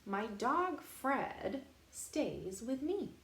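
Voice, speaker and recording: A woman reading a picture book aloud in a playful, expressive way, pausing to put questions directly to young children. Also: high-pitched, gravelly. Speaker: storytime reader for young children. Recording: roomy echo, faint background noise.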